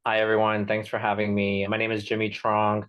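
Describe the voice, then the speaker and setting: A man's voice: on the low side, completely flat delivery, fairly quick, fairly bright tone. A man who is a clerkship director, speaking politely with some hesitations on a webinar panel. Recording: slightly dull audio.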